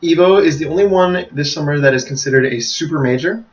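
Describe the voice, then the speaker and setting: A man's voice: fairly high pitch, fast talker. Muffled, slightly distant American man co-hosting. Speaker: podcast co-host. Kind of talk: casual announcements and discussion.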